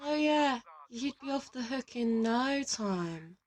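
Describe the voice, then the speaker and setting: Casting: woman to play a teasing former assassin turned thief, slightly high voice, drawn-out playful delivery. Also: expressive. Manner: playful, taunting. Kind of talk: audio drama roleplay dialogue.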